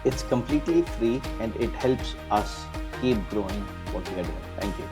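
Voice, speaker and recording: A man's voice: fairly low pitch, expressive, moderate pace, over music. A man hosting a podcast interview, chatting loosely with fillers and restarts. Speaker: podcast host. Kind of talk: casual interview chat.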